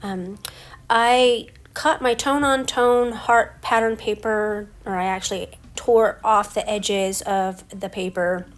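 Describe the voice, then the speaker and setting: A middle-aged woman with a stuffy head cold, talking through her scrapbook layout step by step in a relaxed voice-over. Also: mid-range voice, slow pace. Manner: relaxed, explains each step as she works. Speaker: scrapbooking crafter. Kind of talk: casual craft voice-over.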